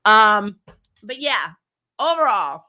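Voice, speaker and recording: A woman's voice: mid-range voice, sing-song, slow, sharp tone. A woman hosting a casual, opinionated live review, talking in a blunt, exasperated, sweary way and laughing as she rants. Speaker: host of a TV review show.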